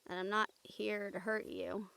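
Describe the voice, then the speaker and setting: A woman playing a wry, gentle recruiter of supernatural outcasts in an intimate audio roleplay, teasing and earnest in manner. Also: mid-range voice, flat delivery, unhurried, vocal fry, clear, resonant tone. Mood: wry, calm.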